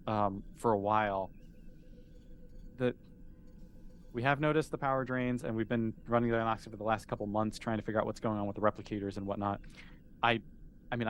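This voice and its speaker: A man voicing an obliging, puzzled starship chief engineer in a tabletop game, explaining things haltingly. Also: mid-range voice, slight background noise, natural voice.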